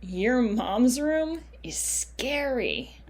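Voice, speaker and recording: Slow, husky, spaced-out woman played by an actress. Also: fairly high pitch, very animated, a little mellow, full character voice. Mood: spooky, spacey.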